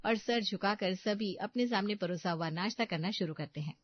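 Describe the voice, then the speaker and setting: A woman's voice: mid-range voice, moderate pace, no room sound, highs cut off. A woman narrating a scripted family story as a storyteller.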